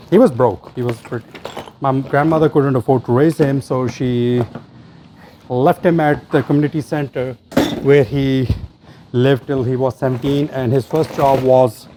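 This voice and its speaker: A man's voice: medium pitch, warm tone, a little hiss or hum. A man hosting a treasure-hunt vlog, talking casually and off the cuff in short fragments as he sorts through finds. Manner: muses aloud in short fragments. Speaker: host of a storage locker treasure-hunt vlog. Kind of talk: casual unscripted commentary while sorting through finds.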